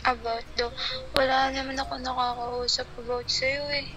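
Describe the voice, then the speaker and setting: A woman's voice: high voice, flat delivery, normal speed, pushed and bright, slight background noise. A woman with a Filipino accent chatting casually with a friend on a phone call, music behind.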